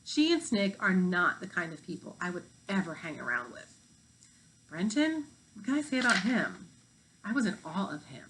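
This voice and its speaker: A prim fifth-grade overachiever voiced by a woman speaks with earnest emphasis. She is a storybook schoolgirl, studious and quick to judge. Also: on the low side, sing-song, background noise. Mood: awed. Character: earnest, prim, judgmental high-achieving fifth-grade girl.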